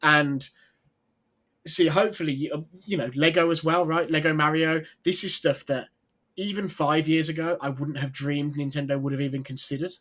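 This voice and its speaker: Rambling, eager man on a video call, a bit high. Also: fairly even intonation, normal speed, a bit bright. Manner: rambling, enthusiastic, trails off. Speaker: games journalist, panelist. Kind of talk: casual video call chat.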